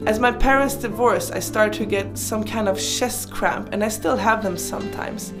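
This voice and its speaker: Girl in her 20s, german speaker, chatty casual life story voiceover, playful, music clearly playing behind. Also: medium pitch. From Sweden. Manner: chatty, informal, playful asides. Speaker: video creator narrating her life. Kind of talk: casual personal storytelling voice-over.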